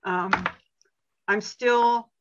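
A woman's voice: medium pitch, fairly even intonation, drawn-out words, muffled recording, background noise. An older woman sharing hesitantly in a support group, searching for words and joking at her own expense. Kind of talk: personal share in a group meeting.

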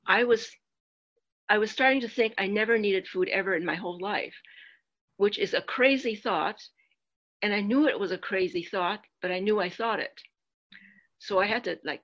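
A woman's voice: mid-range voice, fairly bright tone. An older woman candidly sharing her personal story at a support meeting, with self-deprecating humor, heard over a video call. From United States. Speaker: meeting speaker. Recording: muffled lo-fi video call audio.

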